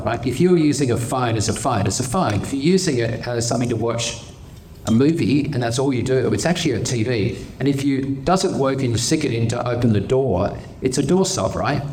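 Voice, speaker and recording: A man's voice: mid-range voice, darkish tone. A man speaking on a panel at a live event, opinionated and fond of everyday analogies. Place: conference hall panel. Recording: live event audio, faint noise.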